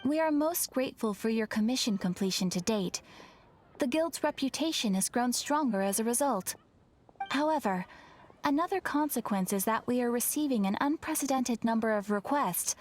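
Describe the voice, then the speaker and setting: A courteous young guild receptionist from a video game, played by a woman, with a fairly high voice. She is formal, composed and helpful, and some background ambience is audible behind her. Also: lively intonation, normal speed, a little mellow, slight background noise, close to an everyday voice. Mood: polite, earnest. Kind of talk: scripted video game character dialogue.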